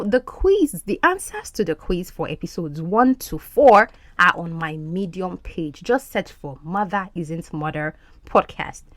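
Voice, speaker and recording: A woman whose first language is Yoruba, hosting a podcast as an English language coach and speaking in an animated, encouraging way. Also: lowish voice, no echo. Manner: animated, encouraging teacher's delivery.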